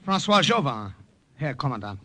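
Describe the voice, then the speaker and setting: An actor playing an earnest young American army sergeant who also narrates, in a 1940s radio drama. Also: male voice, mid-range voice, very animated, normal speed, sharp tone, no echo.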